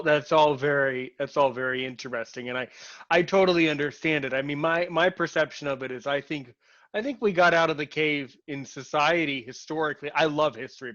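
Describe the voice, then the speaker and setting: A man's voice: a little high, fairly bright tone, no echo. A man hosting a casual conversation, who rambles and restarts his sentences a lot. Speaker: host. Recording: muffled, lo-fi, dry sound.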